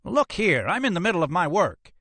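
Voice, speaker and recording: Man doing an indignant, shrill-pitched gray-haired doctor. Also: sing-song, normal speed, pushed and bright, dry recording, exaggerated voice. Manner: indignant, faltering. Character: indignant gray-haired medical examiner, easily cowed.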